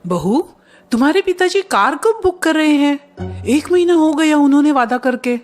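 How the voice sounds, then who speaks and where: A woman's voice: high voice, expressive, normal speed, faint music behind. A woman playing a greedy, sly middle-aged mother-in-law in a cartoon story, with a wheedling, theatrical manner. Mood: demanding. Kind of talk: Hindi cartoon story acting.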